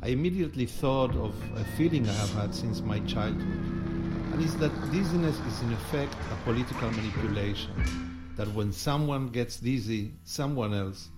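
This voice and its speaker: A man's voice: mid-range voice, normal speed, rough recording. A man co-hosting a podcast, presenting in a semi-scripted style. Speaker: podcast co-host and curator. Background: music with instruments.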